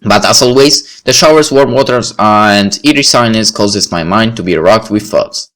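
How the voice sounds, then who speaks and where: A man's voice: on the low side, expressive, normal speed, fairly bright tone. A man reading a first-person story aloud as narration, with the occasional stumble. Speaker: video creator reading a visual novel aloud. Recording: faint music behind the voice. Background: faint music.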